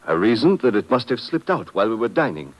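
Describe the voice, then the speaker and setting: A man's voice: lowish voice, very animated, quick pace, highs cut off. A man acting a dignified, bewildered foreign official with formal, hesitant speech in a 1950s radio drama. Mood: earnest, explaining. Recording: vintage 1950s radio recording.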